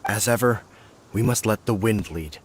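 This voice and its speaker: A man's voice: on the low side, normal speed, noisy background, lightly acted. An actor playing an earnest, formal male knight in a game. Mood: solemn. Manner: formal, solemn.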